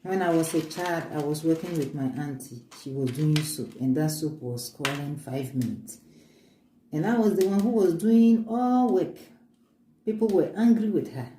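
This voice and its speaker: Woman vlogging her homemade stuff, low mellow voice, echoey room. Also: measured pace. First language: African language. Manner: earnest, upbeat, speaks of faith, many restarts. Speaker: vlogger showing homemade oils. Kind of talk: casual show-and-tell, switching between English and French. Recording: echoey room with faint ambient noise.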